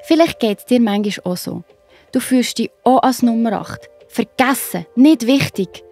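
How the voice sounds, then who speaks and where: A woman with a Swiss accent narrates a children's Bible tale. Her voice is a little higher than average. Faint music plays behind her storytelling. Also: expressive, a little slow, darkish tone.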